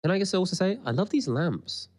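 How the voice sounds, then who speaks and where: A man co-hosting a podcast, giving casual, chatty, excitable running commentary as he watches an episode. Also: high voice, a little fast. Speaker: podcast co-host.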